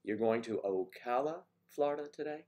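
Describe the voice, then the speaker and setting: An older man with a congested, deep voice, chatting casually and teasingly on his morning livestream, greeting viewers by name. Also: expressive, moderate pace, darkish tone. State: congested with a cold. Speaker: livestream host.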